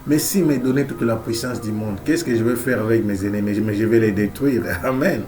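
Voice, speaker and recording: A man's voice: on the low side, brisk, over music. Man preaching with a head cold. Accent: Central African French. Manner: emphatic, repeats key phrases, frequent amen and alleluia. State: has a cold. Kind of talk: sermon.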